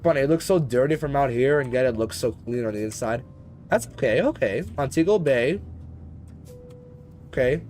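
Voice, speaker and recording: A man's voice: medium pitch, lively intonation, normal speed, pauses often, darkish tone, slight background noise. A man chatting casually as he live-streams a flight simulator, in a rambling, slangy, conversational way. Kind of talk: casual live-stream chat while gaming.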